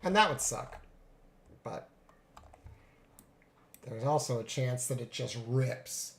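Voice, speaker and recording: Congested gravelly man talking through trades. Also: medium pitch, slow pace, echoey room. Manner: thinks aloud, relaxed, many fillers. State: congested from a cold. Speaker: futures day trader streaming live. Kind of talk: live trading commentary.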